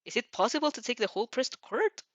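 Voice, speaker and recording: A woman's voice: on the high side, lively intonation, fast, no room sound, dull audio, voice pushed up. A woman voicing a fretful, protective middle-aged father who complains and questions in an exasperated way. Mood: disgruntled.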